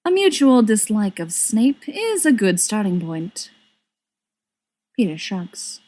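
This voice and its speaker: A woman's voice: mid-range voice, big pitch swings, slow pace, dark tone. A woman reading a story aloud as scripted narration, in the manner of an audiobook reader.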